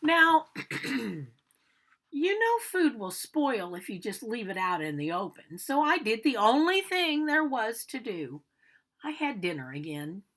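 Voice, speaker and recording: A woman's voice: a little high, sing-song, measured pace, a bit bright, exaggerated voice. A woman voicing an aggrieved male big bad wolf, telling his own storybook tale in a chatty, theatrical way and protesting his innocence. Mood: self-justifying, chatty. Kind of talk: animated storybook read-aloud.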